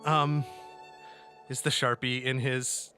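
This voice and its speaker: Guy playing a tabletop rpg, bright voice, stammers a bit, faint music behind. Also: mid-range voice, level tone. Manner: halting, stammering. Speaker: player on a tabletop game podcast. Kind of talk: improvised in-character play and narration.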